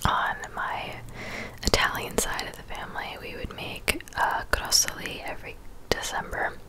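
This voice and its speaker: A woman in her twenties speaking in a soft, breathy whisper, chatting casually and unhurriedly as an ASMR creator answering viewers. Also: normal speed. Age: 20s.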